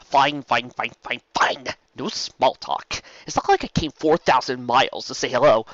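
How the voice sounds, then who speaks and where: A man's voice: mid-range voice, moderate pace, fairly bright tone, no echo, lo-fi sound, lightly acted. A man voicing a mocking, serpentine chaos spirit with a sarcastic, drawling, theatrical delivery in a fantasy story. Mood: gleeful, theatrical.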